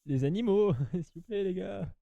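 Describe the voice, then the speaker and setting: Mellow-voiced guy commentating a game. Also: high voice, lively intonation, moderate pace, gravelly, no echo, dull audio. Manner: slangy, chatty, addresses viewers, repeats himself. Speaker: gaming YouTuber. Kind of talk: casual gameplay commentary to viewers.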